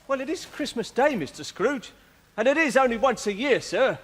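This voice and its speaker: An actor playing a timid, polite clerk in a musical radio drama, hesitant and apologetic, in a reverberant space. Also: male voice, very high-pitched, lively intonation, moderate pace, a little hiss or hum. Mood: timid, deferential. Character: meek, polite, timid male clerk, humbly deferential.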